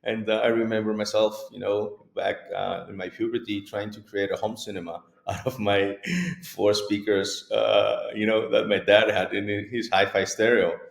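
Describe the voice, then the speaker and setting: Gravelly low-voiced Greek guest. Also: male voice, normal speed, hardly any pauses, echoey room. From Greece. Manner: relaxed, chuckling, with frequent fillers. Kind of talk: casual video-call interview.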